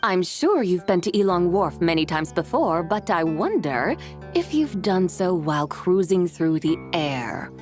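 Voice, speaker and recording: A woman playing a courteous, wise and ancient mystical lady in a video game, speaking with measured formality. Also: on the low side, sing-song, soft background music. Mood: serene, whimsical. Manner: formal, measured, archaic politeness. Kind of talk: video game character acting.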